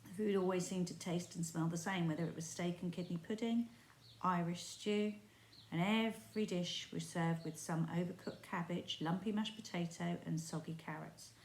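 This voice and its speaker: A woman teacher reading a chapter of a book aloud in a steady, expressive storytelling voice. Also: lowish voice, normal speed, a little hiss or hum. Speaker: primary school teacher. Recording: roomy echo.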